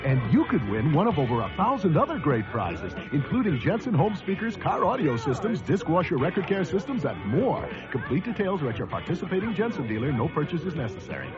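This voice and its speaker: A man working as a commercial announcer, doing a staged interview-style radio advertisement and sweepstakes pitch. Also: big pitch swings, fairly quick, hardly any pauses. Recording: muffled vintage radio ad, loud music.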